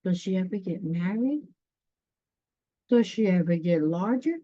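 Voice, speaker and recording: Slow-paced woman storyteller with dramatic pauses. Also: on the low side, expressive, mellow, no echo. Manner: dramatic pauses, gasps, talks directly to listeners. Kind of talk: children's story read-aloud with chatty asides. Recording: dull, dry lo-fi sound.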